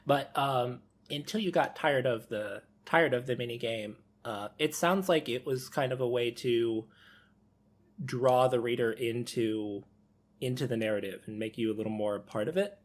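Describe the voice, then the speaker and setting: A man with a medium-pitched voice talks as a panelist on a podcast. His style is casual and conversational, as in a group discussion. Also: normal speed.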